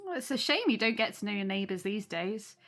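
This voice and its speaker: A woman's voice: mid-range voice, expressive, brisk, close to an everyday voice. Welcoming young mother, acted by a woman, music behind. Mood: candid, gentle. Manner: warm, sincere, chatty. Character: welcoming young single mother hosting her neighbours. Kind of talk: audio drama acting.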